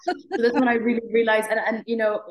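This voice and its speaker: A woman's voice: fairly high pitch, little pitch movement, fast talker, reverberant. A woman in her thirties from Morocco, a guest telling her story in a conversational interview, reflective and thoughtful, with many fillers and restarts. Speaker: guest, app founder.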